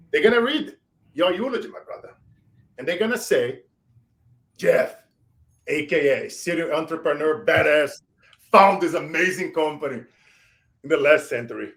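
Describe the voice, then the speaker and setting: A man in his forties with a Brazilian accent, hosting a show and giving emphatic motivational shout-outs. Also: on the high side. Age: 40s. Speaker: show host. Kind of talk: motivational interview wrap-up.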